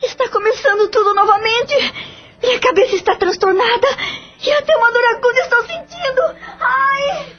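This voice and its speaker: Distraught, crying wife in an audio drama, voiced by an actress; very high voice, muffled recording. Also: female voice, moderate pace. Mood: anguished. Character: tormented wife in spiritual anguish. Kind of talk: radio drama acting. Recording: dull lo-fi audio.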